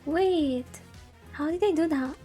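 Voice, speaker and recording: A woman's voice: sits high, slow pace, warm tone. A woman streamer chatting casually while playing a visual novel, rambling and playfully thinking aloud over game music. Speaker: VTuber streamer.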